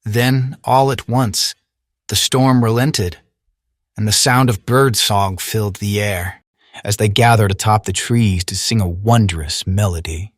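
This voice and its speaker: A man's voice: lowish voice, measured pace, dry recording, clean studio sound. An actor playing an earnest young knight, recounting his tale in solemn, archaic language for an audio drama. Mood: earnest, awed. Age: young adult. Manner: formal, archaic, solemn storytelling. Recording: voice over orchestral music.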